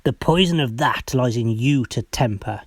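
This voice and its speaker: A man acting a sly, cunning henchman in a Shakespearean radio play, deliberate and conspiratorial. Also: mid-range voice, no room sound, natural voice. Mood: sly plotting. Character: sly, cunning henchman plotting slander for pay. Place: home recording.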